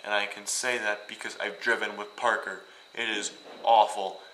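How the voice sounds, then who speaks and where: A young man from Iowa rambling and joking as he vlogs from a hotel room, now and then bursting out loud. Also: fairly low pitch, level tone, moderate pace, echoey room, slight background noise, poor audio. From Iowa, United States. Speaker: vlogger. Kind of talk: casual travel vlog.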